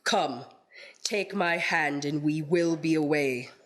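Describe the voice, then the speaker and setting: A woman playing a solemn, knowing ghostly guide in a radio play, speaking formally and gravely. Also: low voice, takes it slowly, background noise. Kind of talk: theatrical community radio play acting.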